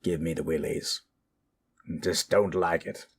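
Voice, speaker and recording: A man playing a gentle, brooding teller of spooky tales in a slow, hushed, dramatic manner over music. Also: deep voice, fairly even intonation, breathy edge. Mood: uneasy, fascinated. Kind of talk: in-character horror storytelling.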